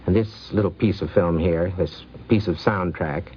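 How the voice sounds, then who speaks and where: A man recounting anecdotes in an unhurried, reflective way on a 1950s radio recording. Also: low voice, muffled recording, a little hiss or hum. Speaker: film producer.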